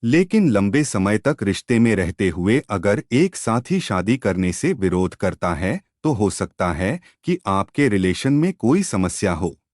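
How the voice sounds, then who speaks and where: A male narrator reads scripted stories and advice in a fairly low voice. His delivery is slow, with a very animated melody. The recording is close and dry. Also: no echo. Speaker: story channel narrator.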